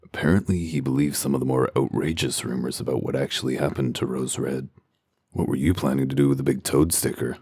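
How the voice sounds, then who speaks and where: A man voicing a gruff, sardonic werewolf detective in a comic dub, with a growling, commanding delivery. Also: fairly even intonation, a little fast, a little mellow, no echo, heavily acted. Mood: dry sarcasm. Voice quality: very deep, gravelly growl. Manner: dry, sarcastic, commanding.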